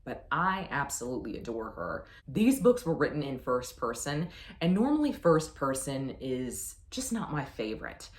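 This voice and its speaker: A woman's voice: very deep voice, sing-song, normal speed. A woman chatting casually as a book reviewer, effusive and emphatic with a playfully self-deprecating manner. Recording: roomy, echoey sound.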